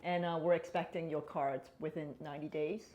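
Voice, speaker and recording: A woman's voice: low voice, fairly even intonation, a little fast, a little mellow. A woman interviewing a client in an office, asking encouraging questions and often repeating words. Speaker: interviewer for consultancy. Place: consulting firm office.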